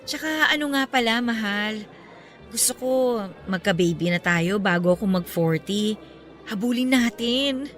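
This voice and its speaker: Radio drama girlfriend in her thirties: sharp bright tone, Filipino accent, fond and coy. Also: medium pitch, lively intonation, measured pace. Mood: playful, fond. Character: devoted, sweet girlfriend in her thirties, newly graduated. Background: background music.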